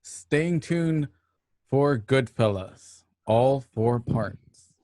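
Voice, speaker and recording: A man's voice: mid-range voice, lively intonation, extremely slow, darkish tone, no room sound. Male radio host, dry close sound. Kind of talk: casual show sign-off and announcements.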